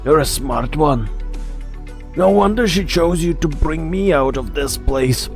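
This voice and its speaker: A man's voice: fairly high pitch, a little slow, gravelly. A man playing a teasing, courteous business director in a game, with polite, theatrical phrasing. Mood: teasing, knowing. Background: faint music.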